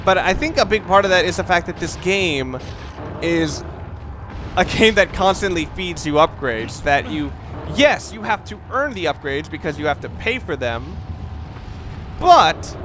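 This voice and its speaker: A man's voice: a little high, fairly bright tone. A young man giving casual, rambling, opinionated commentary while playing a video game, with the odd curse word. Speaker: let's play commentator.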